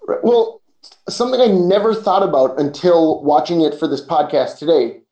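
Guy early 30s chatting on a podcast, kinda high voice, echoey room. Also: sing-song, normal speed, warm tone, lo-fi sound. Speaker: podcast co-host. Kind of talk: casual movie podcast chat.

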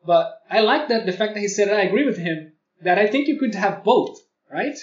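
A man's voice: sits high, lively intonation, fairly quick. A man co-hosting a podcast, speaking English as a second language in a rambling casual chat full of fillers. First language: not English. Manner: rambling, lots of fillers and likes. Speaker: podcast co-host. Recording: roomy, distant, dull sound.

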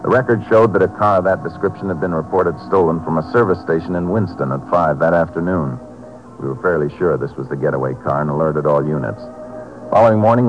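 An actor playing a steady, laconic American Texas Ranger in a 1950s radio drama, terse and matter-of-fact. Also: male voice, a bass voice. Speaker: lawman lead and narrator of radio drama. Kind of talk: 1950s radio acting, scripted narration. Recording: muffled 1950s radio recording.

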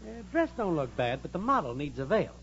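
An actor playing a cocky, slangy young boyfriend and schemer in a 1940s radio comedy. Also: male voice, a little high, sing-song, fairly quick. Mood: teasing. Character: brash wisecracking young man, slangy big-city schemer boyfriend. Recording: dull, hissy old radio recording.

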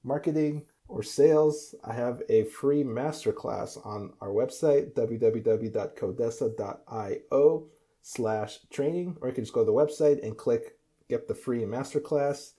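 A man hosting a business podcast, giving a lively promotional intro and asking his guest questions. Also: mid-range voice, unhurried. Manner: upbeat, promotional delivery. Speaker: podcast host. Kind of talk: casual podcast intro and interview.

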